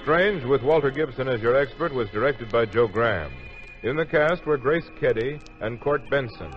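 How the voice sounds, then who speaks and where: A man's voice: mid-range voice, animated, normal speed, over music. A man announcing a 1950s radio series in a dramatic, scripted style. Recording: muffled old radio recording.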